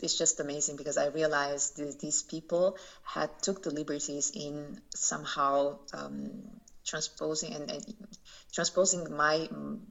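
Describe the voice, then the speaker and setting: Low, gravelly woman with halting speech. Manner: thoughtful, halting, many fillers and restarts. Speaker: poet and translator. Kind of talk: interview answers. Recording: dull lo-fi recording.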